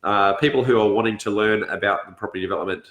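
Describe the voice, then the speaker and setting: Aussie guy hosting a casual stream, deep n raspy, stuffed up nose, stumbles for words, roomy echo. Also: steady tone, fairly bright tone, muffled recording. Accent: Australian. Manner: halting, searching for words. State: congested from illness, blocked nose. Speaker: host of a property education session. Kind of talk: casual livestream chat. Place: indoors on a couch, echoey room. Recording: roomy, echoing sound.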